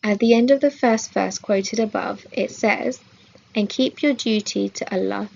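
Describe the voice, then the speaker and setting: Creaky-voiced woman reading a religious study. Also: mid-range voice, measured pace, a little mellow, lo-fi sound. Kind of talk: scripted religious lesson read aloud.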